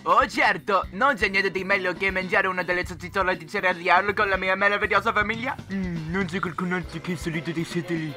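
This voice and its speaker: A man's voice: high voice, steady tone, fast, hardly any pauses, close to an everyday voice. A man voicing an evasive, menacing young cat boy in a parody cartoon dub, insisting on his innocence. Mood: falsely cheerful, evasive. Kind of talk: parody cartoon fandub acting. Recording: roomy echo, music underneath.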